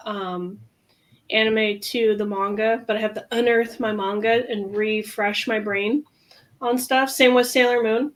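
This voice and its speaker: A woman co-hosting a podcast, talking casually with many pauses and fillers. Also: fairly high pitch. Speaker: podcast co-host. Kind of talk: casual chat.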